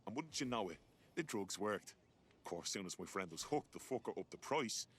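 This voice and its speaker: A man's voice: lowish voice, animated, normal speed, slight background noise, close to an everyday voice. A man with a British accent playing an aggrieved working-class character in a video game, blunt and colloquial.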